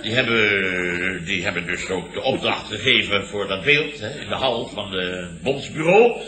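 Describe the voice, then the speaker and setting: An actor playing a flustered, long-winded middle-aged boss who blusters and repeats himself in a radio comedy. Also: male voice, fairly low pitch, lively intonation, measured pace, bright, forceful tone. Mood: indignant. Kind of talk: 1970s Dutch radio comedy acting. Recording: roomy old radio recording, studio audience.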